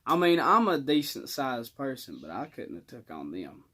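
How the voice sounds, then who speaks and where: A man's voice: mid-range voice, huge pitch swings, normal speed, bright, forceful tone, husky. A young man telling a personal story in casual, colloquial speech with some swagger.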